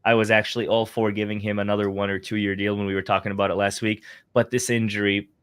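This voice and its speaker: A man's voice: fairly low pitch, flat delivery, fast, clean tone. A man co-hosting a sports podcast, giving his opinions in a casual, conversational way. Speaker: podcast co-host.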